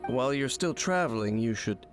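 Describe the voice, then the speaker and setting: An actor playing a world-weary, brooding wandering warrior in a video game, speaking in a grave, formal, measured and aloof manner. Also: male voice, mid-range voice, expressive, natural voice. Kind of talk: video game character dialogue. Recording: music behind the voice.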